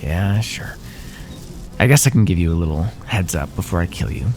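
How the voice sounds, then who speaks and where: A bored naga predator, played by a man for fantasy ASMR roleplay. His voice is very low and gravelly, with lively swings in pitch. He talks down to his prey with casual, dry, teasing menace. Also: moderate pace, noisy background. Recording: echoey, long cave-like reverb.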